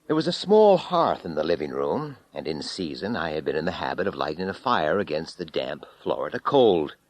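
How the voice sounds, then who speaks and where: Low male narrator for horror fiction; theatrical, highly animated, intense swings from hush to full voice. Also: no echo. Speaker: audiobook narrator. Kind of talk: dramatic first-person horror story narration.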